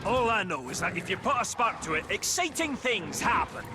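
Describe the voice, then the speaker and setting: A man playing a gruff, hot-tempered dwarf hunter in a video game cutscene, blustering and impatient, with music under his voice. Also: high-pitched, big pitch swings, moderate pace. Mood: agitated, impatient.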